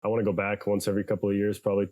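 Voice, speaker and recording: A man from San Diego, a podcast guest talking in a relaxed, chatty way and laughing easily. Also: lowish voice, very fast. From United States, San Diego. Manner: laid-back, chatty, laughs often. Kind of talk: casual podcast chat.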